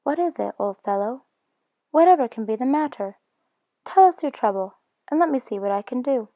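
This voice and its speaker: A woman voicing a kindly male water rat who speaks gently and sympathetically, then heartily. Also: on the high side, animated, moderate pace, dry recording. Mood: sympathetic. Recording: dry, dull lo-fi.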